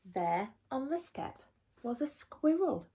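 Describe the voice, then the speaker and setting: Female storyteller for young children, high-pitched and expressive, reading a picture book with deliberate care. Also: husky. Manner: animated, deliberate, with asides to the listeners.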